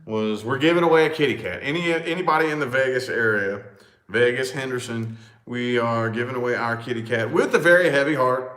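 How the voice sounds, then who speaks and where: A man with a loud, booming voice, talking in a casual, animated chat with exclamations and swearing. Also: brisk. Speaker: vlog co-host. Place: large echoey house. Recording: echoey room.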